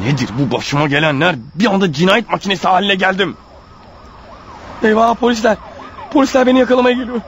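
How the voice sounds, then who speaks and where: A man acting a panicky guilt-ridden husband, high-pitched and fast. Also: slight background noise, lightly acted. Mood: muttering, shaken, then panicked. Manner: jumpy, stammering, snappish and evasive. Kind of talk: radio play acting with muttered monologues.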